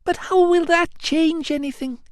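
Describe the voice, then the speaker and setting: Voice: extremely high pitch, fairly even intonation, a little slow, dry recording, clean studio sound, voice pushed up. A whiny, tearful young noblewoman. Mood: self-pitying. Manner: tearful, pleading, breathless complaints. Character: whiny self-pitying young Roman woman. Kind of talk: audiobook acting.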